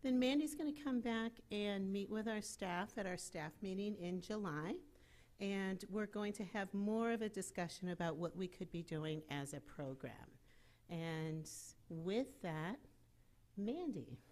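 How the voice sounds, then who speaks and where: A woman's voice: mid-range voice, a little slow, a little mellow. A woman from the program staff giving plain, informal introductory remarks to a meeting room.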